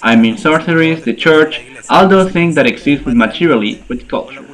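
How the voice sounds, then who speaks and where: A man's voice: a little high, expressive, measured pace, a bit bright, rough recording. Hesitant man answering an interview. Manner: hesitant, searching for words. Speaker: interviewee, archaeologist. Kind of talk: answering interview questions in English.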